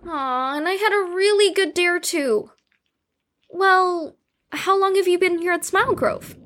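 Very high, chirpy young girl played by a woman. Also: unhurried, a bit bright. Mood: cheerful. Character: chirpy, eager, dog-loving young psychiatric patient girl. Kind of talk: audio drama acting.

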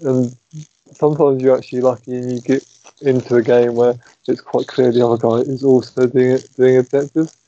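Guy cohosting a pod on a crappy old headset, flat mellow voice, lots of ums. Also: mid-range voice, fairly quick. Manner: hesitant, many ums, trails off. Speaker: podcast co-host. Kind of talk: casual podcast chat. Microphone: old phone headset. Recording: dull lo-fi phone headset.

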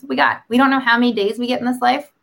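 Talkative woman with a creaky voice. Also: a little high, fairly even intonation, racing, crowd noise. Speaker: talk show host. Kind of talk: casual motivational chat.

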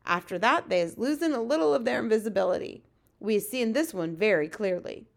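An excitable giant from a children's storybook, acted out by a woman. The voice is pitched high and full of drama. He exclaims in tumbling, ungrammatical folksy talk. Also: fairly quick, vocal fry. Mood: explaining. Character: excitable big-eared male giant, dream catcher, dramatic.

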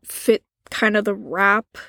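Slow-talking woman with a slightly deep voice. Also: creaky, fairly bright tone, dry recording, clean studio sound. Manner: agreeable, hesitant, searching for words. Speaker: co-host. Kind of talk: casual reaction chat.

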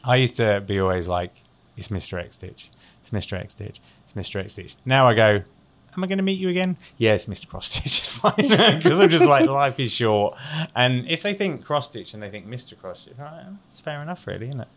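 A man's voice: medium pitch, lively intonation, fairly quick, a bit bright. Jokey, playful man co-host. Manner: jokey, playful, laughs often. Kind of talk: casual chat.

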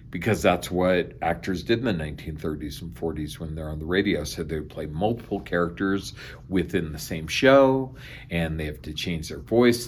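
Deep-voiced man explaining theatre. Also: a little hiss or hum. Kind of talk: interview explanation.

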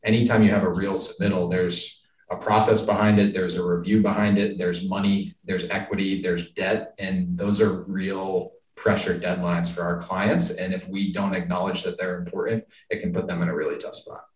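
A man's voice: sits low, level tone, normal speed. An American man from the Boston area answering interview questions in a conversational, explanatory manner. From suburban Boston, United States. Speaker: interview guest, business professional. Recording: echoey room, distant microphone.

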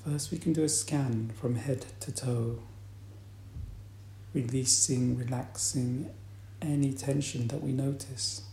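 A man guides a meditation in a soft-edged, relaxed voice of middling pitch. He speaks very slowly and deliberately, leaving long, calm pauses between phrases. The room adds a noticeable echo to his voice. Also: vocal fry. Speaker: meditation teacher. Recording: roomy echo, faint background noise.

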